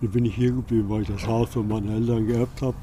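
A middle-aged or older man from rural Hesse answers casually and haltingly, a plain-spoken local breaking off and restarting his sentences. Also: on the low side, little pitch movement, mellow. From rural Hesse, Germany. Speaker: local resident being interviewed. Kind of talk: casual spontaneous interview answers. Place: outdoors in a quiet rural village. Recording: outdoor interview with faint ambient noise.

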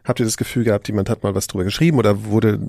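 A man's voice: on the low side, fairly even intonation, very fast, creaky, darkish tone, dry recording, studio quality. A man chatting casually as a discussion host, thinking aloud in an informal, rambling way. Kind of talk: casual interview chat.

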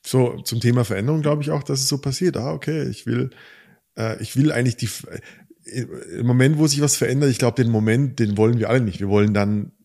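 A man co-hosting a casual podcast conversation, reflective and thinking out loud as he talks. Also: medium pitch, steady tone, brisk, vocal fry. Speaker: podcast co-host. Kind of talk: casual conversation about relationships.

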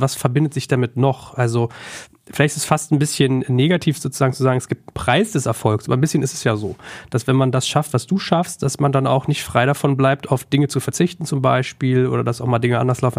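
A man's voice: medium pitch, a little mellow. A man hosting a podcast interview in a chatty, informal way, dropping in English phrases. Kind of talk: conversational interview.

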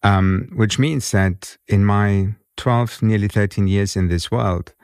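A man in his fifties speaks with a very low voice and an accent caught between British and German. He tells his story in a measured way, often restarting and repeating words. The sound is dry and close, with no room around it. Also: darkish tone, smooth, no echo. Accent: mixed British and German. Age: 50s. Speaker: guest. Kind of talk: conversational interview.

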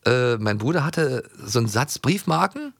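A man's voice: mid-range voice, very animated, moderate pace, gravelly, no echo, studio quality. A middle-aged man from East Germany chatting casually as a podcast co-host, colloquial and enthusiastic. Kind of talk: casual chat.

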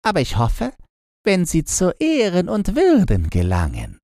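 A man performs a tame female crow from a storybook in a very high, swooping voice. She is prim and courteous, a fussy palace insider. Her manner is formal and polite. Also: wildly swooping pitch, unhurried, darkish tone, no room sound, studio quality, full character voice. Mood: knowing, prim.

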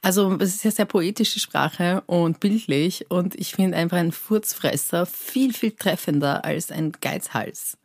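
Low-voiced Persian-born woman, dry sound. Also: measured pace, hardly any pauses, dry recording. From Iran. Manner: animated, lively storytelling with many fillers. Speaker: cabaret artist guest. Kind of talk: casual interview chat.

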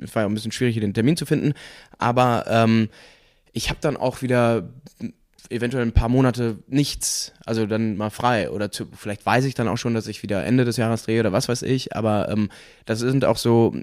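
A young man from Cologne, a guest actor in a relaxed podcast chat, talking loosely with lots of hesitant fillers and easy laughs. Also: medium pitch, no room sound, clean studio sound. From Cologne, Germany. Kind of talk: casual podcast interview.

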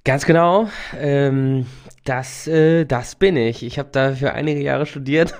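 A man's voice: medium pitch, takes it slowly, a little mellow. A young man who is a lawyer, talking as a podcast guest in an eager, casual way with many fillers. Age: young adult. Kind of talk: casual interview talk.

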